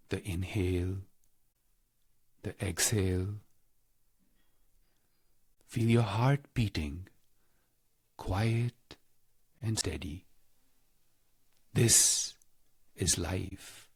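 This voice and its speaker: A man leading a scripted spiritual guided meditation in a calm, measured, reverent manner with deliberate pauses. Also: deep voice, husky, no room sound, studio quality.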